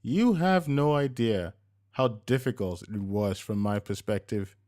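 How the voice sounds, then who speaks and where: A man's voice: on the low side, unhurried, a little mellow, lightly acted. A man voicing a playful, affectionate middle-aged wife who teases, in a narrated story. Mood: earnest.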